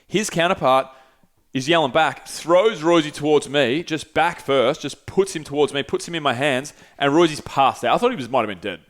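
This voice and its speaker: A man's voice: on the high side, moderate pace. Swearing man telling a story. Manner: animated, swearing, gesturing. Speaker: podcast host. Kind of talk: casual storytelling. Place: small podcast studio. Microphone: headset with desk mic.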